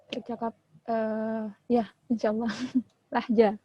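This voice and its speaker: A woman's voice: a little high, level tone, takes it slowly, dark tone, dry recording. A woman, agreeably chiming in with brief casual responses during a lesson. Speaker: participant in an online lesson. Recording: dull dry lo-fi audio.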